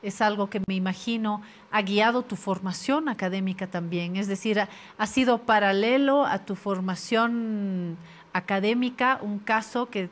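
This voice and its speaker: A woman with a Bolivian accent hosting a news interview, phrasing her questions in a measured, deliberate way. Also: mid-range voice. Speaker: interviewer, host.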